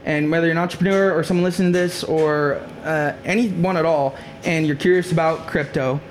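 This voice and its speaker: A man's voice: a little high, fairly even intonation, a little fast, slight background noise. Upbeat slangy podcast host man. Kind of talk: casual podcast interview.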